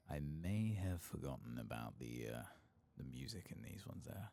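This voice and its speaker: A tired man narrates his game in a soft ASMR murmur that often drops to a whisper. His voice is very deep and heavily creaky, with a breathy rasp. The pitch moves about in a lively way as he talks unhurriedly to himself. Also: a little slow, no room sound. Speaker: ASMR gaming streamer.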